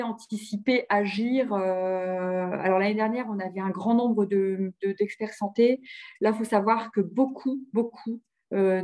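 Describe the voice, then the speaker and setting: Mellow-voiced woman presenting, halting delivery. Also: mid-range voice. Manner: hesitant, often repeating words to find phrasing. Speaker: presenter of local health programmes. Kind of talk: informal slide presentation at a webinar.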